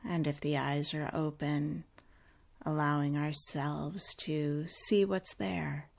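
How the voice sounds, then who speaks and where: A woman leading a guided meditation in a calm, unhurried, gently instructive way with long pauses. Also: low-pitched, creaky.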